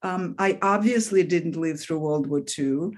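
A woman from Russia, speaking English as a second language with a Russian accent, talking as an author guest in a relaxed interview and often pausing with "um". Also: lowish voice. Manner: thoughtful, pauses with frequent "um". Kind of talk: casual interview conversation.

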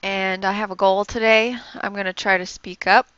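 A soft-spoken young woman, a yoga instructor, giving gentle, encouraging instructions and breathing cues. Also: medium pitch, flat delivery, normal speed, clean tone, no echo, lo-fi sound. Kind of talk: guided yoga class instruction.

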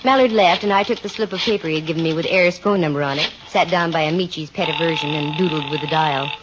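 A woman's voice: low-pitched, quick pace, close to an everyday voice. An actress playing a witty, flirtatious female private detective in a 1950s radio drama, with a dry, wisecracking delivery. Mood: wry narrating. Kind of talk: 1950s radio drama acting and first-person narration. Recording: muffled, noisy 1950s radio recording.

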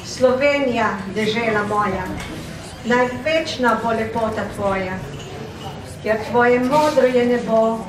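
A woman recites verse at a very slow, solemn pace, her voice fairly high. It rings through a large hall, picked up from afar with audible background noise. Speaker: poetry reciter. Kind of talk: recited poem. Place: community club hall. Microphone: handheld mic, far camera. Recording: echoey hall from far off.

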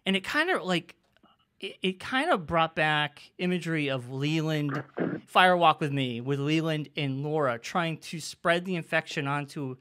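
A man co-hosting a podcast in casual discussion, talking in an excitable, rambling way. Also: on the high side, a little slow. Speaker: podcast co-host.

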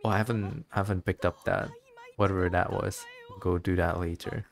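A man's voice: low-pitched, steady tone, moderate pace, gravelly. A man streaming a game with casual, musing commentary, a little hesitant as he thinks aloud.

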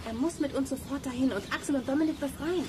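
Woman playing a high-voiced, level-headed girl detective. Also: fast, over loud music, natural voice. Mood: urgent. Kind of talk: German children's radio play acting.

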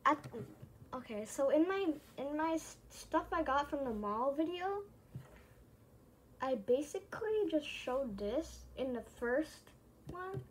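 A young female vlogger with a high voice talks casually at a slow pace. She rambles and hesitates, often going back over the same phrase. The home recording has clear noise behind her. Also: rough recording. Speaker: vlogger showing a plush toy collection.

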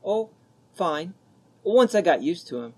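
A polite, shy young man in a radio play, played by a male actor. His voice is husky and light, with lively rises and falls. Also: high-pitched, measured pace, full character voice. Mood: hesitant. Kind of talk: radio drama acting.

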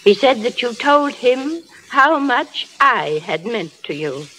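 A woman's voice: fairly high pitch, sing-song, slow, pushed and bright, heavily acted. An older actress playing a firm, plain-spoken, loving grandmother in a vintage radio drama. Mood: earnest urging. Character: stern, loving old grandmother with blunt resolve. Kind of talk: 1930s radio drama acting. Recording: noisy vintage radio broadcast.

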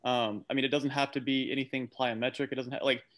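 A man's voice: medium pitch, level tone, fast talker, fairly bright tone. A man working as a coach, explaining casually on a video call, tentative and thinking aloud. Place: indoors on a group video call.

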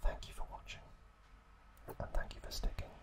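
A man whispering gently and unhurriedly to his listeners as an ASMR host. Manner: gentle, unhurried, softly spoken direct address to listeners.

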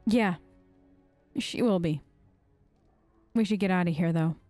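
A woman's voice: on the low side, measured pace, mellow, dry recording, soft background music. A woman gaming host doing casual let's play commentary, talking in character. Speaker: gaming host, role-player.

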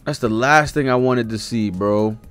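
A man's voice: medium pitch, moderate pace, clear, resonant tone, faint music behind. A young American man giving casual, slangy, profane live commentary as he reads along. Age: young adult. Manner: animated, slangy, profane reactions. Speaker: streamer reacting to a manga. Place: home studio room with streaming setup. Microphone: desk microphone close by.

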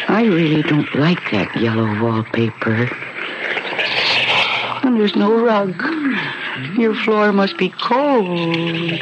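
A woman's voice: fairly low pitch, very noisy. An actress playing a sentimental young woman revisiting her childhood home in a radio drama, wistful, gushing and girlishly eager. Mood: dreamy. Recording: dull, lo-fi old radio recording.

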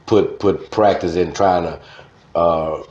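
A man's voice: low-pitched, fairly even intonation, slow, darkish tone, faint music behind. A man in his fifties with a Southern Louisiana accent, telling stories casually as a radio veteran being interviewed. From Baton Rouge, Louisiana. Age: 50s. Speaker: radio host, interview guest. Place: studio desk, indoors. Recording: slightly dull, echoey studio recording.

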